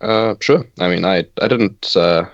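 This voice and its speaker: A man's voice: sits low, unhurried, dry recording, muffled recording. A man in his twenties from South Africa, whose first language is Afrikaans, chatting casually in an interview with frequent laughs. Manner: relaxed, laughs often, hesitant restarts. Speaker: band bassist.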